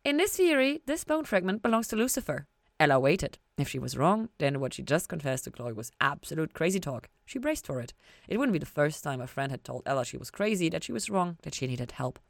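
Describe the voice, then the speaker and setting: Low-pitched woman narrating a story. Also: lively intonation, brisk, gravelly, no echo, clean studio sound. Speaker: podcast host reading fan fiction.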